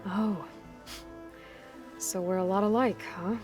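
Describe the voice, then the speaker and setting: A woman's voice: mid-range voice, fairly even intonation, slow pace, music in the background, natural voice. A woman playing a guarded, blunt young warrior in a video game cutscene, terse and questioning. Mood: skeptical.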